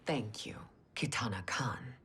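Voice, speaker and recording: A woman voicing a proud, scheming queen in a video game cutscene, speaking in a low, breathy whisper. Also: a little slow, fairly bright tone. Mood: regal.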